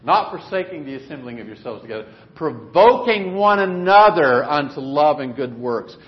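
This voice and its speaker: A man's voice: a little high, big pitch swings, moderate pace. A man preaching a sermon in a conversational, plainspoken way in a church meeting room. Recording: dull lo-fi recording.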